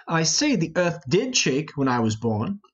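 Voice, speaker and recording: A man's voice: a little high, big pitch swings, unhurried, slightly rough, highs cut off, heavily acted. A man playing a proud, boastful mystic lord in a Shakespearean play reading, declaiming grandly. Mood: grandiose.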